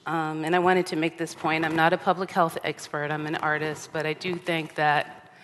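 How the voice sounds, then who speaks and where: A woman artist giving a measured conference talk from a lectern, pausing often with ums. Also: low voice, flat delivery, fairly bright tone, in a large hall, slight background noise. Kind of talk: lecture with slides. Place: large theater stage. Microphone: reading at a lectern.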